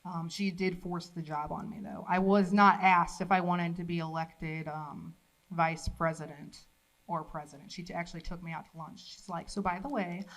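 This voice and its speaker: A woman's voice: lowish voice, fairly even intonation, normal speed, poor audio. Woman declaiming her own poetry. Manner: declamatory, rising and louder when reading. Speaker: poet reading her own work. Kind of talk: spoken-word poetry reading with asides. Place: college event room.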